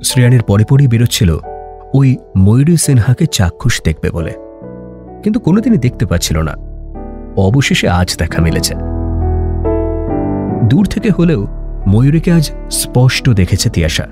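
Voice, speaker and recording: Expressive male story narrator. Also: medium pitch, normal speed, pauses often. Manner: emotive, literary. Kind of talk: dramatic scripted story narration. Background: background music.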